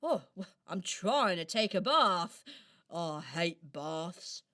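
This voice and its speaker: A woman's voice: on the low side, sing-song, takes it slowly, fairly bright tone, full character voice. A woman voicing a grumbling, theatrical, shivering robin in a children's radio play, with exclamatory complaints. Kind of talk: children's radio play acting, partly sung.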